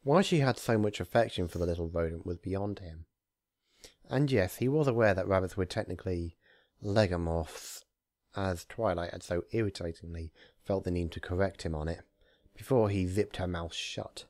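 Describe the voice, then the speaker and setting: Low-voiced man narrating a story. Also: moderate pace, darkish tone, no echo, studio quality. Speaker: narrator, fan fiction reader. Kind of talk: expressive scripted story narration.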